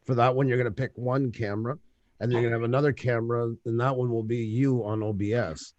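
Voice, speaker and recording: A man's voice: medium pitch, fairly even intonation, fast, a little mellow, no room sound. A man hosting a casual livestream chat, explaining tech setups step by step and laughing easily. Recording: dull lo-fi audio.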